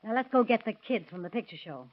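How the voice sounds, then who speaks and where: A woman's voice: on the high side, animated, fast talker, close to an everyday voice. Actress as a testy, snappish woman, muffled sound. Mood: insistent. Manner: snappish, defensive. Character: testy, sharp-tongued married woman out dancing. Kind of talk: 1930s radio drama acting. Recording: muffled old radio recording.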